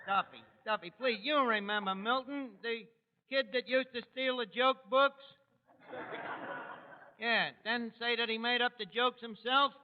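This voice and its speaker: An actor playing a brash, wisecracking New York tavern manager in 1940s radio comedy, with colloquial, mangled grammar. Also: male voice, very high voice, steady tone, measured pace. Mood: wry. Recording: dull restored 1940s radio, hall reverb.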